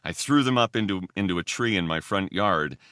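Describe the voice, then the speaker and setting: A man talking casually as a podcast guest, halting as he thinks aloud, with a self-deprecating manner. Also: low-pitched, lively intonation, a bit bright, smooth, dry recording, studio quality. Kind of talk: casual conversation.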